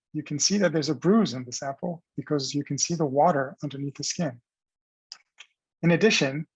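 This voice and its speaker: Husky-voiced man giving a science presentation. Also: on the high side, no echo, rough recording. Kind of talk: scientific presentation, explanatory narration over slides.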